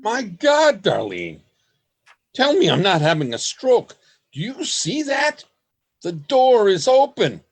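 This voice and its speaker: A shaky old grandfather, voiced by a man, speaks in earnest wonder in a homemade radio play. His voice sits a little high for a man and swings widely in pitch. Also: huge pitch swings, slow, exaggerated voice. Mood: amazed. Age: older. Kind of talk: amateur radio play acting.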